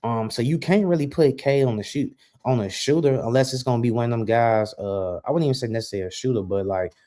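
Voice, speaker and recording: A man's voice: medium pitch, quick pace, dark tone. A man co-hosting a sports podcast, explaining basketball points in casual, slangy speech.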